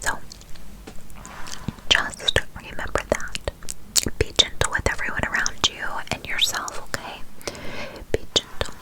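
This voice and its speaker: A woman whispering softly and soothingly to her viewers, calling them her loves. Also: poor audio. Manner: soft, slow, soothing, addresses listeners as "my loves". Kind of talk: whispered ASMR-style talk.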